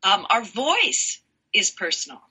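A woman with a self-described low voice, talking slowly and expressively as an interview guest, laughing often. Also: husky, rough recording. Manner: animated, laughs often, slow emphatic phrasing. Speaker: guest, sound healer. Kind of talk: warm expressive interview talk.

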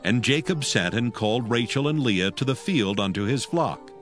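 Male scripture narrator: solemn, measured, mid-pitched, expressive intonation, light music bed.

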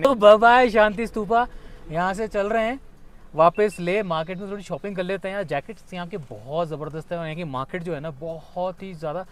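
A young man vlogging casually outdoors on a clip-on mic, chatty and mixing English words into his talk. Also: sits high. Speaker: travel vlogger. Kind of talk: casual vlog chat while walking. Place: outdoors in a busy town market.

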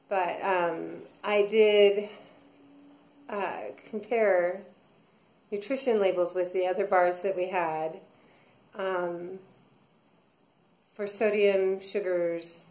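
A woman's voice: medium pitch, a little mellow. A woman in her thirties recording a casual video diary at home, rambling with many fillers and restarts. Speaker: vlogger. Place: small room at home, indoors. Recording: echoey room.

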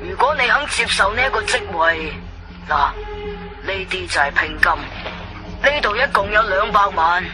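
A slick Cantonese go-between in a radio drama. His voice is high for a man, and he presses his offer smoothly and persuasively over clearly audible music. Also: lively intonation, moderate pace. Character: slick, persuasive male middleman offering a bribe.

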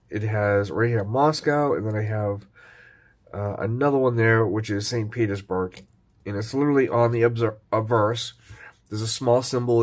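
Stuffy-voiced man showing his coins. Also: medium pitch. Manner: relaxed, rambling, frequent fillers. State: stuffy from a cold. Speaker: coin collecting vlogger. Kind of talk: casual show-and-tell narration.

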